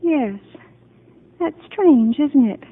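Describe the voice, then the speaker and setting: Confused fragile woman patient, high hesitant voice, old 1950 radio drama, tinny sound. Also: animated, very slow, exaggerated voice. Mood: bewildered, hesitant. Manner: hesitant, bewildered, childlike questioning. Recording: muffled narrow-band 1950 radio broadcast.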